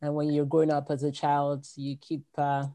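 Host: woman from Nigeria, very low voice, casual interviewer who laughs easily. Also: monotone, moderate pace, mellow. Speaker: podcast host.

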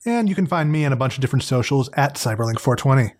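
A man's voice: mid-range voice, lively intonation, quick pace. A man co-hosting a podcast in a casual, conversational style. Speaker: podcast co-host.

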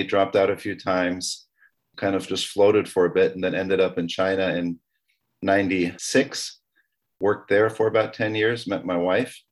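A middle-aged man from Taiwan telling personal stories as an interview guest, conversational and a little halting. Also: low-pitched.

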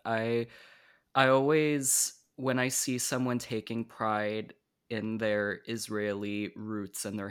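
A man's voice: fairly low pitch, fairly bright tone, clear, resonant tone. A man in his twenties hosting a podcast, chatting enthusiastically with his guests. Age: 20s. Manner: chatty, enthusiastic, with frequent restarts. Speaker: podcast host. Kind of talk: casual interview chat.

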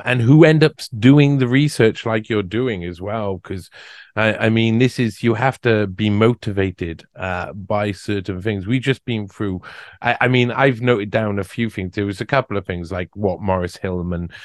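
A very deep-voiced man hosting a podcast chat. He talks haltingly, stopping and restarting his sentences. His voice is dry and close to the microphone. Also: dry recording. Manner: halting, full of restarts and fillers. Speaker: podcast host. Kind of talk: casual interview chat.

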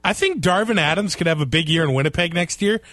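A man co-hosting a casual sports podcast, talking in a relaxed, halting way with lots of fillers. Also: fairly high pitch, no echo, studio quality. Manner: relaxed, hesitant with many fillers. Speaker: podcast co-host.

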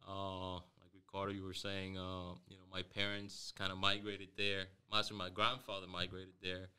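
A man in his forties from Venezuela chats easily as a podcast guest, pausing on fillers. His voice is very deep, with a sharp, bright edge and a crisp, clean sound. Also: completely flat delivery. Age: 40s. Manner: easygoing, laughs often, hesitant with fillers. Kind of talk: casual interview chat.

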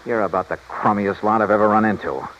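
An actor playing a hard-boiled, sardonic American insurance investigator in a 1950s radio detective drama, with a clipped, pressing delivery. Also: male voice, lowish voice, steady tone, fast, a bit bright, lightly acted. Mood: sardonic, accusing. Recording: muffled, noisy old radio recording.

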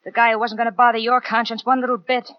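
A woman's voice: fairly high pitch, flat delivery, quick pace, no echo, close to an everyday voice. An actress playing a hard-boiled, needling woman in a 1940s radio crime drama. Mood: taunting. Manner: pointed, taunting, slangy. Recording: dull old 1940s radio recording.

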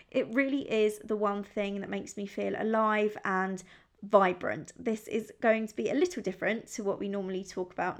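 A young woman from England hosting her own podcast, talking in a chatty, upbeat, confiding way. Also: fairly high pitch. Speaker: podcast host. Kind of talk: solo podcast chat.

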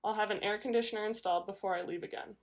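A woman's voice: mid-range voice, steady tone, rapid-fire, lightly acted. Woman reading as an anxious, tender young husband. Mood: apologetic, concerned. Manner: earnest, concerned.

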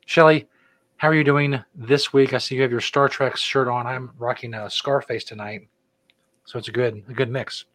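A man's voice: medium pitch, steady tone, normal speed, fairly bright tone. A middle-aged man co-hosting a casual podcast, laid-back and joking as he rambles through announcements. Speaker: podcast co-host. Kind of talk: casual chat.

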